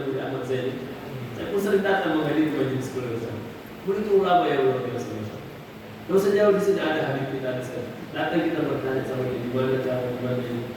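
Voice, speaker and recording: A man giving a conversational Islamic sermon, explaining patiently and repeating phrases for emphasis. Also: a little high. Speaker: Islamic preacher answering questions. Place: mosque hall. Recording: echoey mosque hall, distant mic, noisy.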